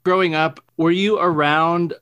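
Male podcast host from Arizona, relaxed and friendly, halting delivery, dry sound. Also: fairly high pitch, smooth, no echo, dull audio. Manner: relaxed, friendly, halting with repeated words and ums. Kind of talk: casual interview conversation.

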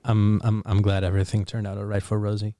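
A man's voice: lowish voice, monotone, quick pace, mellow, no echo, studio quality. A man hosting a casual podcast in English, a native Dutch speaker, playful and prone to stammering restarts. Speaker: podcast host.

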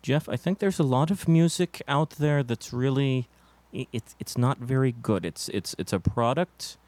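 A man playing a musician in a deadpan mock interview, halting and stammering, earnest but evasive. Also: mid-range voice, dry recording. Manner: hesitant and full of fillers. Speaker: interviewed musician in a comedy sketch.

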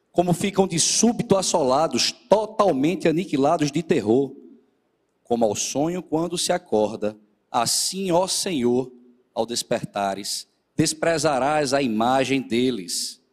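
A man, a presbyter leading a church service, a little nasal and hoarse from a cold, speaking earnestly to the congregation. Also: mid-range voice, big-room sound. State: still a bit hoarse after the flu. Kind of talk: sermon with scripture reading.

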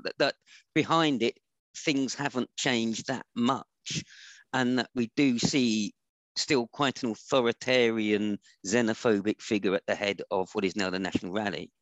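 A man on a discussion panel, explaining in a measured, analytical way. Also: fairly low pitch, a bit bright, dry recording, poor audio. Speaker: panel guest.

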